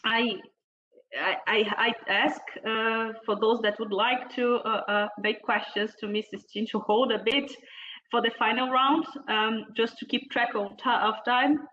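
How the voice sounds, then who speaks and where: A woman moderator with a bright, forceful voice introduces a panelist over an online call. Her delivery is formal and halting, as if working through notes, with frequent hesitations. The sound is somewhat dull and lo-fi. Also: a little high, steady tone. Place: online video meeting.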